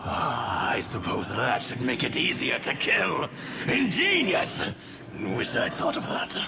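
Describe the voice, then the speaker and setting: An actor playing a pompous, gleeful undead apothecary in a video game cutscene, gravelly and theatrical. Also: male voice, mid-range voice, huge pitch swings, slow pace, full character voice. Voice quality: creaky, gravelly. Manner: theatrical, exclaiming, drawn out. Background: music.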